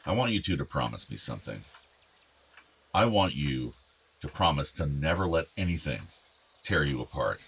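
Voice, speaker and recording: An actor playing an ailing, tender older father in a radio play, reflective and gently earnest. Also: extremely low pitch, very animated, normal speed, pauses often, husky, heavily acted. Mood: grave, confessing. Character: ailing, tender, wistful aging father of two grown sons.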